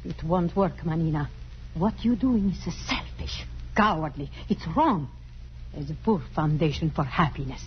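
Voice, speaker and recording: A woman's voice: low-pitched, expressive, normal speed. An actress playing a blunt, disapproving woman friend who scolds emphatically in a theatrical radio drama style. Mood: stern, disapproving. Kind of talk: 1950s radio drama acting. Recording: muffled, noisy old radio broadcast.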